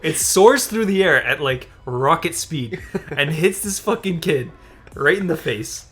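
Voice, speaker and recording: A man's voice: on the high side, expressive, unhurried, a bit bright, slightly rough. A young man casually telling a rambling, amused story in a chatty style with some swearing. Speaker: gaming channel co-host. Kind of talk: casual storytelling chat over gameplay. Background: background music.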